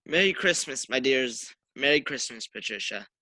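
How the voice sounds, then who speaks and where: A cheerful, tender father and humble clerk played by a man in a radio drama. His voice is mid-pitched, bright and forceful, with a dry sound. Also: animated, moderate pace, dry recording. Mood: merry. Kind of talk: radio play acting.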